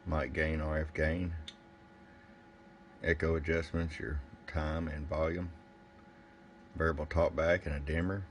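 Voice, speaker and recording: Very deep-voiced man, casual reviewer. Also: little pitch movement, measured pace, pauses often. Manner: plain-spoken, thinking aloud. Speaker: product reviewer. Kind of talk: casual unboxing and bench review. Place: indoor electronics workbench. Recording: faint background noise.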